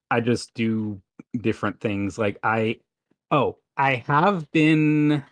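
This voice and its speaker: A man chatting casually as a podcast guest, with a hesitant, halting way of talking. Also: medium pitch, a bit bright, dry recording, clean studio sound. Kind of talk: casual chat.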